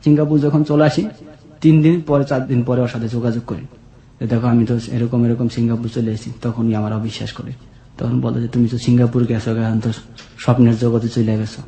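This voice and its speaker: A man's voice: mid-range voice, level tone, fairly quick, warm tone. A man with a colloquial Bangladeshi accent telling his own life story as a guest, earnest and plaintive. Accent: Bangladeshi, colloquial regional. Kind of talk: personal confessional storytelling. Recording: roomy echo on the voice.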